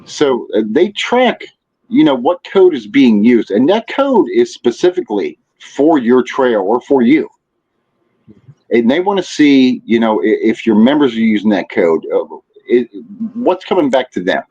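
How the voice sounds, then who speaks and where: Slightly muffled, lo-fi audio of a man as a podcast guest. He has a medium-pitched voice and gives advice in a casual, rambling way, often dropping in filler phrases. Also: very animated, a little slow, mellow. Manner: rambling, peppered with "you know". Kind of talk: casual chat, giving advice. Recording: dull, lo-fi audio.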